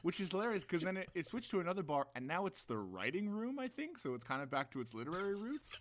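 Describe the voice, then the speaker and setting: A man's voice: high-pitched, very animated, fast. A young man co-hosting a casual podcast with playful, teasing banter. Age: young adult. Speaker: podcast co-host.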